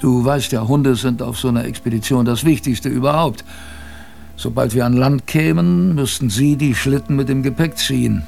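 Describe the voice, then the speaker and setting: An actor playing an old seafarer in a radio drama, colloquially reminiscing about his youth in a gravelly voice. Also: medium pitch, darkish tone, faint music behind, close to an everyday voice. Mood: fond reminiscing. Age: older. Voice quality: gravelly, creaky. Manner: colloquial, unhurried raconteur.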